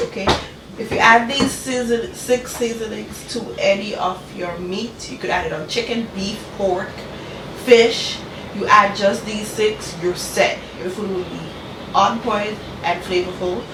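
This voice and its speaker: A woman's voice: mid-range voice, unhurried, background noise. A woman with a congested, nasal voice from a cold, chatting casually as host of a cooking vlog and often saying "you guys" and "okay". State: congested from a cold, talking through her nose. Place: home kitchen. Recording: echoey room, mic at a distance.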